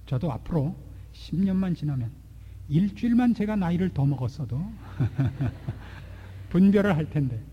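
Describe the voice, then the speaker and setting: A man in his forties preaching a sermon, asking rhetorical questions and swinging between loud and hushed delivery. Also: a little high, lively intonation, measured pace, slightly rough, lo-fi sound. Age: 40s. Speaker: pastor. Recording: long hall reverb.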